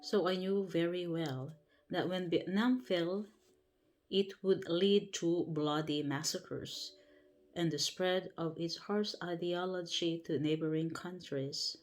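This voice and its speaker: A woman's voice: lowish voice, steady tone. Woman reading aloud with a Filipino accent. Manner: careful, halting reading with self-corrections. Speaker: livestream host. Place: at home before bed. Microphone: livestream setup.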